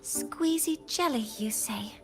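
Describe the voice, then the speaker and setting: Prim patient lady teacher in a game, high voice, instructive, music behind. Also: slow pace. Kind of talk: video game voice acting.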